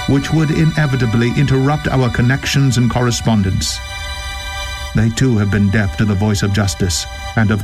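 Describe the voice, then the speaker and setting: A man reads a historical document aloud with solemn drama. His delivery is grave and deliberate, declaimed at a middling pitch. Music plays clearly behind him. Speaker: reader.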